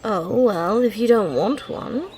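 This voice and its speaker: A woman's voice: medium pitch, expressive, takes it slowly, darkish tone, slight background noise. A woman playing a teasing, grudgingly fond thief with a dry, mock-impatient manner in an intimate ASMR roleplay. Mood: teasing, indulgent.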